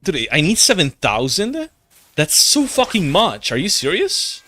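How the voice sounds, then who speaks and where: A man's voice: sits high, huge pitch swings, a little slow, bright, forceful tone, slight background noise. A young man chatting casually on a gaming live stream, rambling and slangy, playfully exasperated and prone to swearing. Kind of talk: casual live-stream chat while playing.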